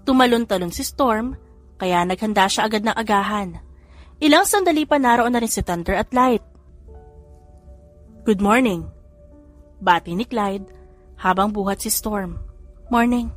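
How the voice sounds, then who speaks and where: A woman reading a romance story aloud in calm, steady narration. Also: medium pitch, moderate pace, faint music behind. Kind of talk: scripted audio drama narration.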